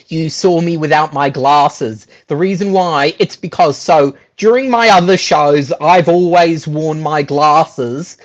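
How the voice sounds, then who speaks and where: Slow, higher-pitched man. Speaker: guest, musical theatre performer. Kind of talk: casual interview talk. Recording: dull, lo-fi sound.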